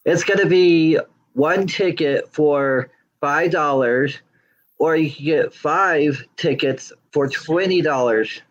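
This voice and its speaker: A man joining by video call from a small room at home to make a relaxed announcement. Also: a little high, slow pace, rough recording. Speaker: guest making an announcement. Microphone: webcam on video call.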